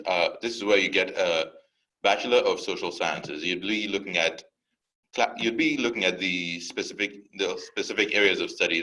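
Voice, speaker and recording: Deep-voiced young man presenting. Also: low-quality recording. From Uganda. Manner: relaxed, lightly joking, with fillers. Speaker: admissions counselor. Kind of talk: scripted webinar presentation.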